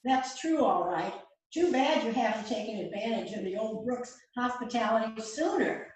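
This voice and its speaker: A woman's voice: fairly high pitch, lively intonation, normal speed, darkish tone, natural voice. A woman reading the part of an anxious married housewife in an amateur mystery play rehearsal. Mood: chatty, welcoming. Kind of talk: amateur readers theater rehearsal, script reading. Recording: very echoey room, distant mic, faint noise.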